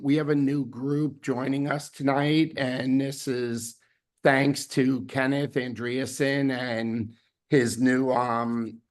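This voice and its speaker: A man hosting a weekly team video call, his voice hoarse from laryngitis, rambling with fillers and restarts. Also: mid-range voice. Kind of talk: casual team announcements.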